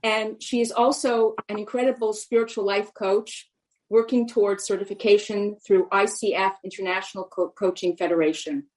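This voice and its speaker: A woman's voice: mid-range voice, fairly even intonation, moderate pace, darkish tone, poor audio. A woman hosting a video call, introducing the guest speaker while a little flustered and searching her notes. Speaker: program host introducing speaker.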